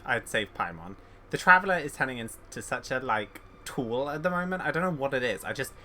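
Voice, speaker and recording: A man's voice: medium pitch, sharp tone, a little hiss or hum. A man giving casual, rambling commentary as a game streamer, thinking aloud and opinionated.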